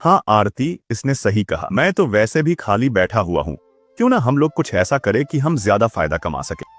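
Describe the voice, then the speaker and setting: Guy voicing an easygoing cartoon family dad, earnest n polite, very animated pitch, soft music behind. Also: normal speed, no echo, studio quality. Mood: thoughtful. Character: agreeable, appreciative husband and young father. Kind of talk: Hindi moral-story cartoon dubbing.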